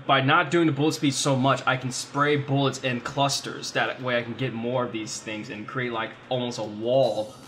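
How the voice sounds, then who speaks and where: A man giving casual, excitable gameplay commentary with friends, exclaiming and swearing as he plays. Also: medium pitch, normal speed, hardly any pauses, a little hiss or hum. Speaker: gamer playing an online tank game. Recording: music mixed behind the voice. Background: music.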